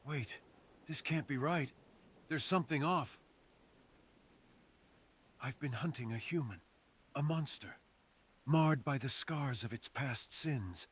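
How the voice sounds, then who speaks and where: A man's voice: medium pitch, expressive, slow, pauses often, husky. A man playing a fox assassin in an ASMR roleplay, speaking theatrically and intimately to the listener, savoring his phrases. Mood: remorseful, troubled. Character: menacing, sadistic male fox assassin with a remorseful streak. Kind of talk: ASMR roleplay, close dramatic monologue to the listener.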